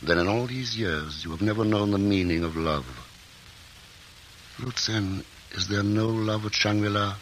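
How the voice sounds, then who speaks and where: An actor playing a thoughtful, earnest explorer in a 1940s radio drama, speaking in a measured way. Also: male voice, lowish voice, slight background noise, close to an everyday voice, low-quality recording. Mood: tender. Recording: old radio broadcast with score.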